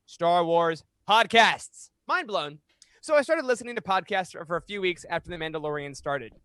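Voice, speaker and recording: A man in his thirties hosting a fan podcast, speaking in an animated, emphatic way into a close microphone. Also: sits high, normal speed, no room sound. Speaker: podcast host. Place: small home room, indoors. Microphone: close studio microphone on boom arm.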